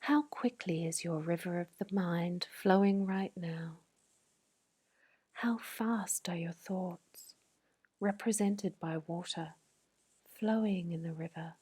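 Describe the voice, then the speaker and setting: Whispering woman guiding a sleep meditation. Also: fairly low pitch. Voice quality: breathy whisper. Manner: slow, soothing, gentle. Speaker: meditation guide.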